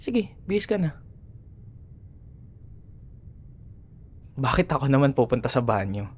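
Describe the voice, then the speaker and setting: Man acting a young male school rival, Filipino accent, swooping animated pitch, smug, bickering, sarcastic, casual audio roleplay. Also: fast, breathy edge. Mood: mocking, playful. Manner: teasing, sarcastic, bantering. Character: cocky, teasing young male student, grudging school rival. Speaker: voice actor. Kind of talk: ASMR boyfriend roleplay, casual dialogue.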